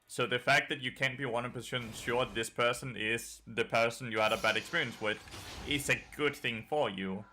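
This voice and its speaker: Man, danish accent, casual game commentary, bright tone, faint noise behind. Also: mid-range voice, normal speed. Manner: casual, swears, answers chat between plays. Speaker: game streamer and coach.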